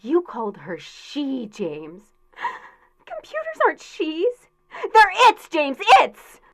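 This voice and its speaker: Super high shrill jealous fiancee, bossy n melodramatic, voice all over the place, radio comedy. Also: female voice, huge pitch swings, slow, heavily acted. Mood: jealous suspicion. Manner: nagging, melodramatic, sarcastic. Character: shrill, demanding, jealous fiancée of a timid man. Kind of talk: comic radio drama acting.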